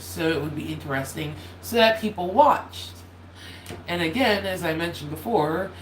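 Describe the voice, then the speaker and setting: Nasal streamer rambling at home, voice kinda cracking, roomy echo and a faint heater hum. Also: mid-range voice. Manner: apologetic, loses track and restarts often. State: voice slightly cracking. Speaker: YouTuber hosting a holiday livestream. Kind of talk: casual rambling chat to viewers. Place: room at home with a loud space heater.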